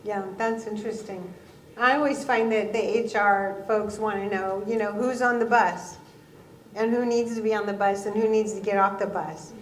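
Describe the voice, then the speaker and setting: A woman speaking as a panel guest and talent leader, chatty and quick to laugh at herself. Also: mid-range voice, fairly even intonation, distant mic, slight background noise. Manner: chatty, laughing, self-deprecating. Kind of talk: live panel talk. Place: event hall with audience. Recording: echoey hall.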